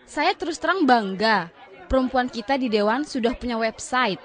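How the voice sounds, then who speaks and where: A woman's voice: fairly high pitch, lively intonation, moderate pace, fairly bright tone. A woman playing an eager, outspoken citizen speaking up emphatically at a public forum with a crowd around. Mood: proud. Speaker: audience member. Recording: crowd noise behind.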